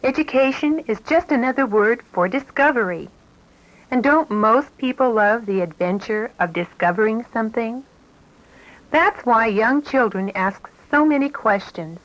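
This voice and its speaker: A woman reading scripted instructional narration in a measured, explanatory manner on an old 1970s cassette tape. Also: fairly high pitch, lively intonation, fairly bright tone, dull audio. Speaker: narrator of an educational program tape.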